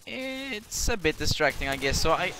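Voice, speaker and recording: A man's voice: on the high side, sing-song, normal speed. A young man with a Norwegian accent giving casual, rambling commentary over his gameplay, swearing now and then. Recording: clear background hiss.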